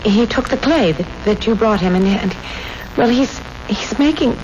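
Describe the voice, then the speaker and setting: An actress playing a fearful, awed granddaughter in a 1970s radio drama, halting and frightened. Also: female voice, medium pitch, expressive, natural voice. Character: fearful, awed granddaughter tending an old man. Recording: muffled, noisy old radio recording.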